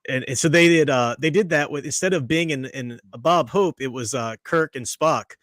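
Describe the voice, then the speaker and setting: A man's voice: fairly high pitch, normal speed, fairly bright tone, dry recording, studio quality. A man hosting a casual podcast discussion, rambling with frequent restarts and fillers. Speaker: podcast host.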